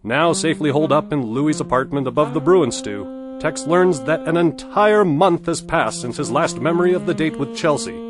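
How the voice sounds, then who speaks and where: A man's voice: a little high, expressive, normal speed, over music, natural voice. An actor playing a male announcer, giving a dramatic radio-serial recap. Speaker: narrator.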